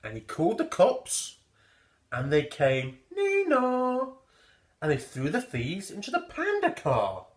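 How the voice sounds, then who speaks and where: Casting: man as children's storyteller, theatrical and clear in explaining, slow, in an echoing room. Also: a little high, huge pitch swings. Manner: theatrical, explains things simply for small children. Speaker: storybook reader. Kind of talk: expressive children's story narration.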